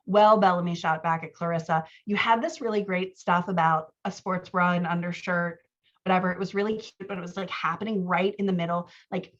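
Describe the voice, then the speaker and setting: A woman talking casually as a writing coach, giving feedback and acting out bits of dialogue in an animated, conversational way. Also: fairly low pitch, a little fast. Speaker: book coach giving feedback on a writer's scenes. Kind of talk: casual coaching talk mixed with reading out scene dialogue. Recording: slightly dull, lo-fi audio.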